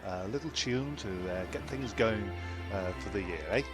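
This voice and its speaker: A man's voice: fairly low pitch, normal speed, lightly acted. A man playing an eager castaway in a comic radio play, rambling and cajoling. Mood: coaxing. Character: eager, coaxing male castaway singalong leader. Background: music.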